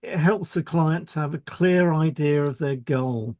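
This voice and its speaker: Man, fairly high pitch, dry studio-like sound, scripted educational narration. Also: a little slow, warm tone, dry recording. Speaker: podcast co-host, hypnotherapist. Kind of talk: scripted explanatory podcast read.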